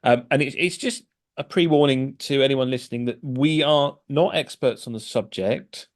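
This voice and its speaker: A man's voice: medium pitch, normal speed. A middle-aged man hosting a casual podcast, chatty and hesitant, with many fillers and restarts. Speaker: podcast host.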